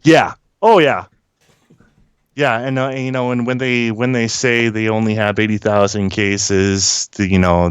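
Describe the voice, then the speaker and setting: Male guest commentator, deep and clean-voiced, informal and opinionated, hesitant phrasing, plenty of ums. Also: smooth, no echo. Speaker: guest caller, mining industry technician. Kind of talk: informal explanatory commentary on industry news.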